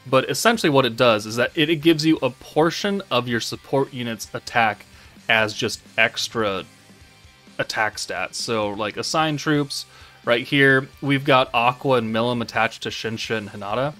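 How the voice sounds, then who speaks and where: A man's voice: medium pitch, normal speed, sharp tone. Casual rambling man over background music. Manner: informal, rambling, thinking aloud. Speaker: gaming channel host. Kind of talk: casual game update commentary.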